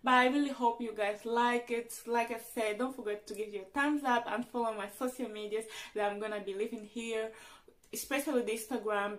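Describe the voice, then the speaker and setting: A young woman whose first language is Portuguese, telling a personal story in a vlog in an earnest, halting way, often restarting her sentences. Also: mid-range voice. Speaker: vlogger.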